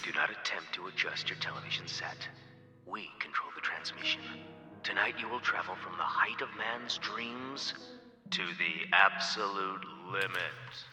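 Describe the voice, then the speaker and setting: A man gives an ominous introduction in a deep voice. His slow, dramatic delivery rings with long reverb over loud music.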